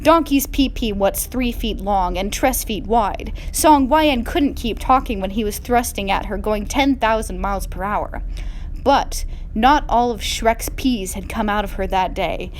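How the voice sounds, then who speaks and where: A woman giving a mock-dramatic, hammy reading of a comic fan fiction story on a faintly noisy recording. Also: mid-range voice. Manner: hammy, deliberately reads misspellings as written.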